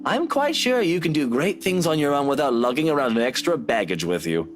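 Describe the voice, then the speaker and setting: A man's voice: a little high, sing-song, brisk, faint music behind. A man voicing a flamboyant, cocky, doting rich uncle in a visual novel game, theatrically reassuring.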